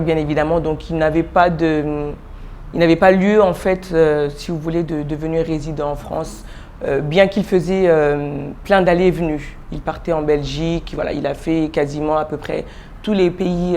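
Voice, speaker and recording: A woman in her forties from Congo, a pastor being interviewed, telling her story softly with many pauses, fillers and restarts. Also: slight background noise. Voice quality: low, soft voice with frequent fillers and restarts. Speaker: pastor, guest.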